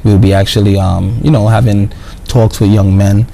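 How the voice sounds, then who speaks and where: A man's voice: lowish voice, fairly even intonation, normal speed, dark tone. A man answering interview questions casually and earnestly, repeating words and often adding "you know what I mean". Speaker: professional basketball player, interview guest. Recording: clearly noisy background.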